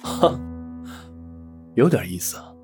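A man's voice: takes it slowly, a little mellow, soft background music, natural voice. A man playing a suave, scheming boss, smug and teasing with a threat underneath, in a Chinese audio drama. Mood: amused, teasing. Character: suave, scheming male boss, indulgent and menacing.

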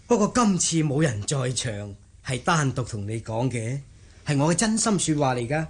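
Husky, remorseful gentleman. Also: medium pitch, sing-song, moderate pace. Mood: earnest, pleading. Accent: Cantonese. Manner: earnest, placating. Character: remorseful, earnest gentleman master of a wealthy household. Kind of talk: Cantonese radio drama acting.

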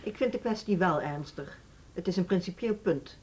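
An actress in a Dutch radio drama playing a rigid, principled music school director, stern, formal and stubborn, with a slightly husky voice. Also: female voice, lowish voice, lively intonation, normal speed, a little mellow, low-quality recording. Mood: stern, unyielding.

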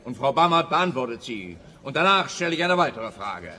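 An actor playing an officious, pedantic, exasperated police officer in a comic radio play, blustering. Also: male voice, mid-range voice, big pitch swings, brisk, pushed and bright. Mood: impatient. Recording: roomy long reverb, faint background noise.

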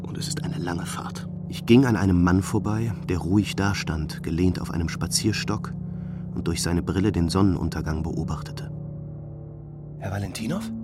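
Actor as low-voiced, weary grieving man. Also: moderate pace, close to an everyday voice. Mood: subdued, searching. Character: weary, grieving, sleepless friend of a dead physicist. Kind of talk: German radio drama acting with introspective narration. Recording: music playing under the voice. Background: prominent music.

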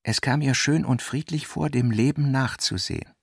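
A man's voice: medium pitch, normal speed, gravelly, husky, no echo, studio quality. A man with a Standard German accent reading scripted literary narration as the narrator of a radio production.

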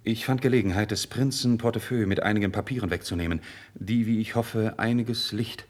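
Actor as a low-voiced, composed courtly adviser. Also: male voice, lightly acted. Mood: urgent, guarded. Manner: formal, controlled, deliberate, persuasive. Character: composed, earnest, calculating nobleman advising his king. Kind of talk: 1950s German classical radio drama acting.

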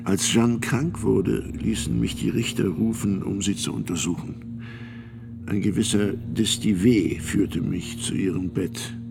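A deep-voiced older priest gives testimony in a radio drama. His voice is creaky and low, his delivery grave and deliberate, that of an uneasy medieval witness, with music clearly behind him. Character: sixty-year-old male priest-physician, fearful, solemn medieval trial witness. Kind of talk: radio play testimony, measured acting.

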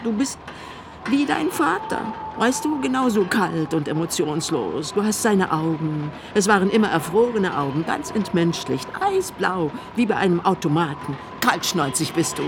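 A woman's voice: lowish voice, big pitch swings, normal speed. Imperious, needling old mother, noisy background. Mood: bitter, scolding. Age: elderly. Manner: theatrical, scolding, pleading. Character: frail, eccentric eighty-year-old mother, imperious and needling. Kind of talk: German radio play acting.

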